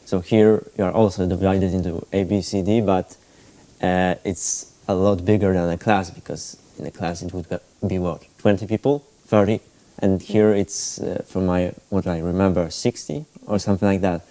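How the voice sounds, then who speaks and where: A nineteen-year-old man from Poland, a student on a panel, explaining things haltingly with fillers. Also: low-pitched, fairly even intonation, mellow. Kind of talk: answering questions on a panel.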